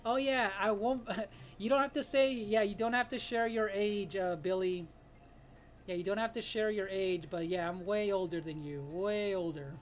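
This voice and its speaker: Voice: medium pitch, steady tone, normal speed, a little hiss or hum. A streamer in their late thirties chatting casually with viewers while drawing live, laughing often and greeting people by name. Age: 30s. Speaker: live-stream artist host.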